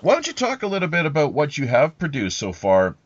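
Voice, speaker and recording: A man hosting a podcast interview, talking casually in a wordy, halting, roundabout way. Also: a little high, huge pitch swings, breathy edge, no room sound. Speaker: podcast host interviewing a guest. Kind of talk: casual rambling interview talk. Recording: slightly dull, muffled lo-fi audio.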